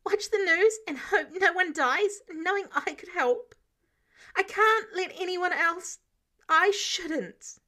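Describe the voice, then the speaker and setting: A woman's voice: extremely high pitch, slow, bright, forceful tone, pitched above the performer's own voice, full character voice. A woman voicing a stubborn, earnest teenage boy who argues his case in an audio story. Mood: upset, pleading. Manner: earnest, argumentative, cheeky.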